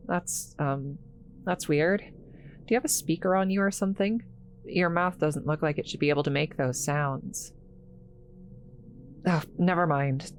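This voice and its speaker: A woman playing an awkward, nervous scientist in an audio drama, rambling haltingly as she talks to a patient. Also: low voice, slight background noise. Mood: awkward, hurried. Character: flustered awkward young woman government scientist tending an alien. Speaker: voice actor. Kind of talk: scripted roleplay audio drama, one-sided dialogue.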